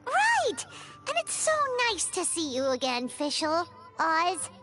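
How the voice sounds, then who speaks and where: A woman voicing a tiny childlike fairy companion in an anime game, squeaky, naive and chatty, with an exclamatory manner. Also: huge pitch swings, takes it slowly, sharp tone, soft background music, full character voice. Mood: cheerful, flustered. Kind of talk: English-dubbed anime game acting.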